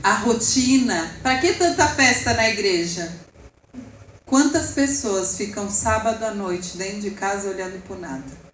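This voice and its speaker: A woman's voice: medium pitch, a little slow, roomy sound, a little hiss or hum, low-quality recording. A woman with a Brazilian Portuguese accent preaching a sermon with passion, rising and falling and speaking asides to the congregation. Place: church hall.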